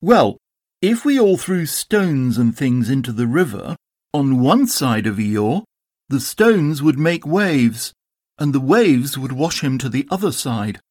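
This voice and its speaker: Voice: medium pitch, very animated, slow, darkish tone, dry recording, studio quality. A storybook reader voicing an earnest, humble male toy bear with a simple, hesitant manner. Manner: hesitant, simple, well-meaning. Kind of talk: storybook character voice.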